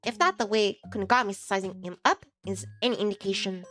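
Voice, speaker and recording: A woman's voice: medium pitch, a little fast, a bit bright. A woman streamer casually narrating a visual novel as she reads it aloud. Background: music.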